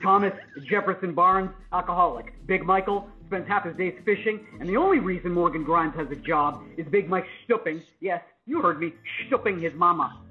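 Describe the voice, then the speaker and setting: A man's voice: sits high, moderate pace, few pauses, narrow, tinny audio, soft background music, close to an everyday voice. A man acting a smug, scheming, self-important store slacker in a pompous, dramatic way at a live comedy read. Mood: gossipy, accusing.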